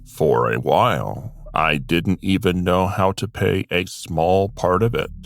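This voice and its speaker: A man narrating a scripted fantasy tale in the first person as an otherworldly being, explaining it deliberately and directly to the listener. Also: sits low. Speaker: storyteller. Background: music.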